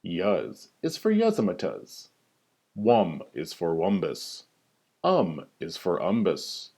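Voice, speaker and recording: Male storybook reader, slow and deliberate, highly animated, theatrical singsong emphasis on the rhymes. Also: husky. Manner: theatrical, singsong rhyming delivery with drawn-out emphasis. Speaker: teacher reading a story aloud. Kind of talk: expressive children's picture-book read-aloud.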